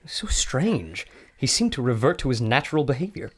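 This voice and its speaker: A man's voice: mid-range voice, very animated, normal speed, background noise, close to an everyday voice. An actor playing a scholarly male archaeologist who explains things in a learned way. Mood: explaining.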